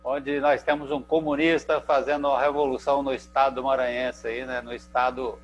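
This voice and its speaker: A man's voice: mid-range voice, level tone, moderate pace. A man with a Brazilian accent hosting an online poetry evening in a chatty, filler-heavy way over a video call. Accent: Brazilian Portuguese. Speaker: host. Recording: dull video call audio with mains hum.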